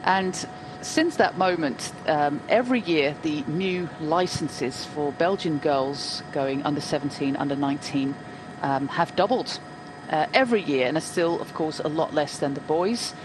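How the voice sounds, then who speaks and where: A woman's voice: low voice, unhurried. Chatty woman commentator over race noise. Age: 40s. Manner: chatty, anecdotal, frequent ums. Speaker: cycling commentator. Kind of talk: live race commentary chat.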